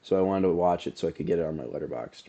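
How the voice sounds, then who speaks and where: A man's voice: low voice, racing, mellow, dull audio. A young adult man co-hosting a podcast, chatting casually about movies, sounding nasal from allergies and rambling in a laid-back way. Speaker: podcast co-host.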